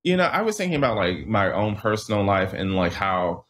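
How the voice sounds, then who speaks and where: Guest author, young man, deep voice, laid-back, restarts often, from Michigan. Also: fairly quick. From southeastern Michigan. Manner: relaxed, restarts often, laughs at himself. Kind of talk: casual interview talk.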